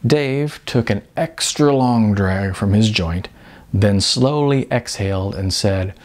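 A middle-aged man reading a story aloud as an expressive audiobook narrator. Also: on the low side, takes it slowly. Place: bright furnished room indoors.

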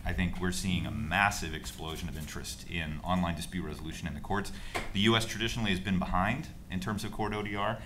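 A middle-aged American man with a very low, deep voice speaks fluently and upbeat. He talks at a table microphone in a conference room, with a faint hum of ambience behind him. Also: fast. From United States. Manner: fluent and upbeat, laughs at himself. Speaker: panelist. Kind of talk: conference panel talk.

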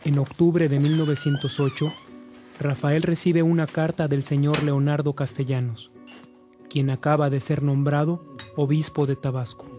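A man's voice: a little high, steady tone, moderate pace, over music. A man narrating a radio drama from a script.

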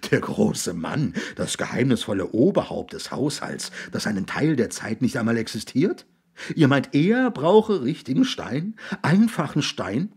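A man's voice: mid-range voice, lively intonation, a little slow, slightly rough. A man acting a frantic, hysterical tormented man. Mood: hysterical, mocking. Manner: frantic, pleading, theatrical. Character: desperate, hysterical, tormented man near breakdown.

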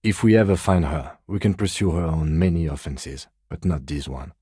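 An actor playing a composed, weary police detective in a video game, with dry, deadpan, measured delivery. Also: male voice, very deep voice, husky, dry recording, heavily acted. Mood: dry, restrained.

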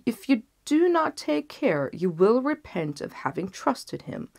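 A scheming grand vizier from an old storybook, voiced by a woman reader. His tone is urgent and insinuating, and his pitch rises and falls widely. A gravelly roughness runs under every word. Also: sing-song, measured pace, darkish tone, full character voice.

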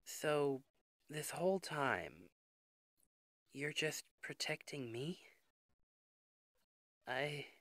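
Man acting a slow, emotional worried boyfriend. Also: fairly high pitch, a bit bright, no room sound, heavily acted. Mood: stunned, helpless. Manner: earnest, emotional, halting delivery. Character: worried, devoted young boyfriend of a superhero. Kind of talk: intimate ASMR roleplay monologue.

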